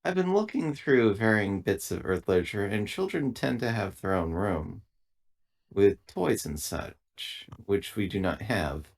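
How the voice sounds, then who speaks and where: A man playing a polite, formal synthetic crew assistant in a tabletop game, speaking in a measured, halting way. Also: fairly low pitch, expressive, gravelly, heavily acted. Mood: polite, deliberate.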